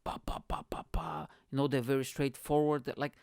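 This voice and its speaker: Gravelly man telling stories. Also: mid-range voice, a little fast, dry recording, clean studio sound. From Bulgaria. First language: Bulgarian. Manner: animated, laughs often, acts out quoted people. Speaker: podcast host. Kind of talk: casual storytelling monologue.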